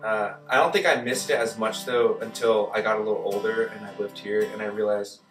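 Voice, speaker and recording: A young man from Germany, a native German speaker, casually telling his life story in an interview, relaxed and full of fillers like "like" and "uh". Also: fairly low pitch, a little fast, echoey room, distant mic, soft background music. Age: young adult.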